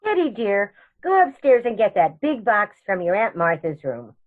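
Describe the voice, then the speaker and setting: A woman plays a sweet old spinster aunt in a radio comedy, fussing and chatting genteelly. Her pitch swoops freely, and the sound is a little dull. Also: big pitch swings, normal speed. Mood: fussing, kindly. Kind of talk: radio comedy theatre acting.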